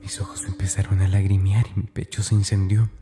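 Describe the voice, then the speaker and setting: A man's voice: deep voice, monotone, fairly quick, over music, close to an everyday voice. An actor playing a tormented, fearful schoolboy, narrating his diary in a confiding, anguished, dramatic way. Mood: frightened.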